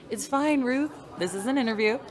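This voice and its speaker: An actress playing a friendly, easygoing uploaded human mind, speaking in a casual, chatty way in a sci-fi radio drama. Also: female voice, sits high, moderate pace, lightly acted. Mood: friendly, candid. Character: friendly, easygoing female uploaded mind running a general store.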